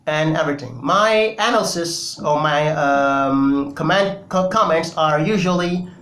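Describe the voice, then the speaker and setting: A man's voice: fairly high pitch, measured pace, a bit bright, echoey room. A Senegalese man whose first language is Wolof, giving emphatic political commentary in English with many fillers. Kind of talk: opinion commentary to camera.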